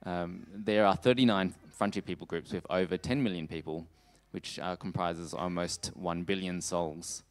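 A man gives a church talk in a low, smooth voice. He moves haltingly through his sentences, stopping partway through phrases before carrying on. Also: steady tone. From Australia. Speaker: preacher giving a talk on world missions. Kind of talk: sermon with slides, closing in prayer.

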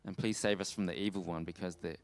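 A man preaching a missions sermon in a halting way, often pausing mid-phrase. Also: low voice, steady tone. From Australia. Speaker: preacher giving a talk on world missions. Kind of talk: sermon with slides, closing in prayer.

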